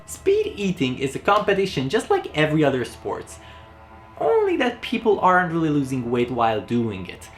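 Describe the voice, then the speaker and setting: A man's voice: on the high side, wildly swooping pitch, normal speed, soft background music. A young man with a Hungarian accent, guest-hosting with playful, sarcastic asides. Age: young adult. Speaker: guest host. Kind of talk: joking commentary.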